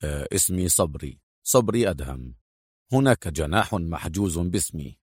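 A man's voice: low-pitched, animated, moderate pace, breathy edge, no room sound, voice pushed down. A man voicing a stern, sardonic secret agent with a dry, cold manner in an audiobook. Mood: low, businesslike. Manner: dry, clipped, muttering through teeth. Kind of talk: acted audiobook dialogue.

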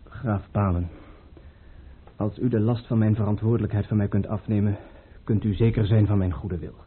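An actor playing a young, earnest and anxious prince caught up in a conspiracy, speaking formally in a radio drama. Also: male voice, on the low side, fairly even intonation, normal speed, a little hiss or hum, close to an everyday voice. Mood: grave. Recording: muffled old radio play recording.

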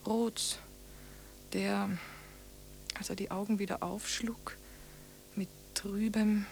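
An actress playing a withered, deranged wife in a radio drama, speaking a haunted monologue in measured, sometimes hushed phrases. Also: female voice, medium pitch, little pitch movement, creaky, a little hiss or hum. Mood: haunted, resigned.

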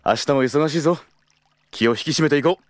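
A man acting a protective, hot-tempered senior colleague in an audio drama, firm and formal in confrontation, otherwise blunt and rough. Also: a little high, a little fast, no echo, close to an everyday voice. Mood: brisk.

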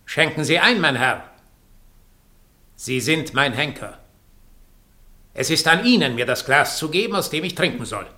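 Cold, sharp-voiced scheming double. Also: male voice, on the high side, animated, heavily acted. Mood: grim command. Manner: imperious, deliberate, cutting. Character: Diego, cold calculating doppelgänger, a man who schemes death. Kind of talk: 1960s German radio play acting.

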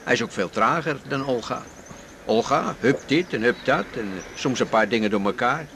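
An actor plays an amiable, inquisitive companion in an eighties radio drama. His voice is of average pitch and his delivery is conversational. Noise is clearly audible behind him. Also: normal speed, fairly bright tone, natural voice. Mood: frank. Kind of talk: naturalistic 1980s radio drama dialogue.

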